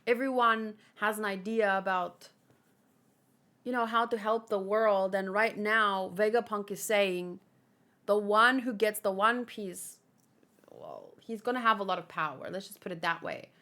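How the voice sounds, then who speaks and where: A woman's voice: mid-range voice, lively intonation, a little slow, sharp tone. A woman host chatting casually and informally about manga, rambling a little as she thinks aloud.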